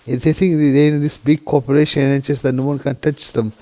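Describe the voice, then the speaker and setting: A man from the United Kingdom speaking straight to camera in a halting, subdued way, full of restarts and "you know" fillers. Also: mid-range voice, little pitch movement. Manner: subdued and quiet, grieving. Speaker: vlogger. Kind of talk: emotional personal monologue.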